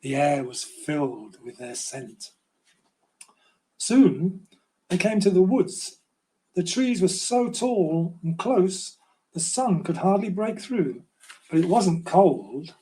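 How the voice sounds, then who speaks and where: An older man reading a children's storybook aloud in a gentle, unhurried way. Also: high-pitched, expressive, poor audio. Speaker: children's author reading own book.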